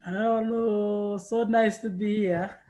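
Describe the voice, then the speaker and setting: Male, Ugandan accent, polite and unhurried, short replies over a faintly noisy video call. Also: high voice, steady tone, mellow. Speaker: group call participant. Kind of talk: brief, polite replies in casual chat.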